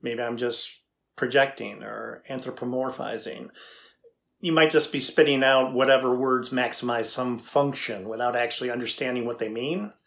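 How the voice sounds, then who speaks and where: A man's voice: medium pitch, steady tone, moderate pace, gravelly, fairly bright tone. A middle-aged man playing a curious, skeptical tech engineer interviewing an AI in a scripted dramatization, matter-of-fact and inquisitive. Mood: probing, skeptical. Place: indoors, plain backdrop.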